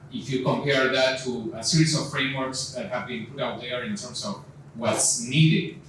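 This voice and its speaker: A man's voice: mid-range voice, fairly bright tone. A man moderating a live panel, talking with many fillers and restarts. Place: event venue. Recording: echoey room, far from mic, faint noise.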